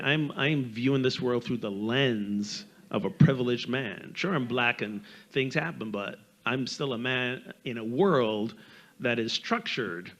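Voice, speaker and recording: Bright-voiced man with a light Barbadian accent. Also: mid-range voice. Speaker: panel speaker, minister. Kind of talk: reflective, candid panel talk.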